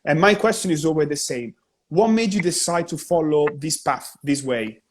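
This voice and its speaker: A man's voice: on the high side, normal speed, slight background noise. A man hosting a live interview stream, chatty and enthusiastic. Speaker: host. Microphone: video call.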